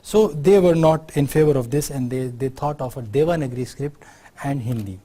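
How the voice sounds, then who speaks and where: A man's voice: mid-range voice, normal speed, warm tone. Pakistani man lecturing with frequent "right?". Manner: unscripted explaining, many fillers, tag questions like "right?". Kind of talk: university lecture.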